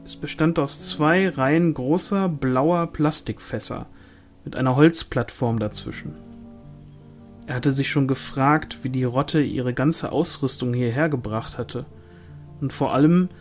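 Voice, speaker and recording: A man's voice: mid-range voice, unhurried, soft background music. A man reading a fantasy novel aloud as scripted audiobook narration. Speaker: audiobook reader.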